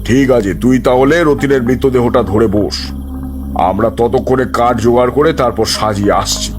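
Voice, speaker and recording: A man's voice: medium pitch, normal speed, music in the background, natural voice. Man playing a stern cautionary village elder. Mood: commanding. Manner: commanding, cautionary. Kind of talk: Bengali horror cartoon acting.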